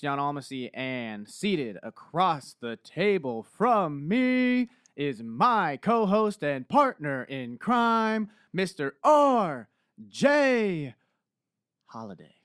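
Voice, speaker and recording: A male podcast host with a smooth, resonant voice, upbeat and ready with a joke. He sounds close and dry on a studio mic, with no room echo. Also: a little high, fairly bright tone. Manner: upbeat, joking, fluent with fillers. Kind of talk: casual podcast hosting.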